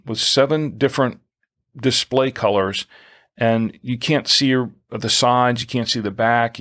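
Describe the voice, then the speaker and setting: A man's voice: medium pitch, fairly bright tone. A man co-hosting a podcast, talking through a product in a conversational way, hesitating and repeating himself as he goes. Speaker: podcast co-host.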